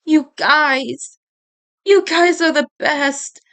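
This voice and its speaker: A woman's voice: high-pitched, extremely slow, fairly bright tone, pitched above the performer's own voice, exaggerated voice. A woman voicing a remorseful, emotional boy schemer in a cartoon story. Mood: tearful confession.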